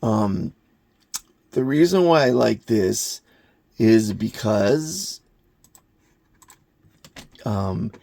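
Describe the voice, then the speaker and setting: A man giving an unscripted hands-on gadget review in a halting, plain-spoken, matter-of-fact way. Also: lowish voice, animated, gravelly, dark tone, dry recording. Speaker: product reviewer, hobbyist maker.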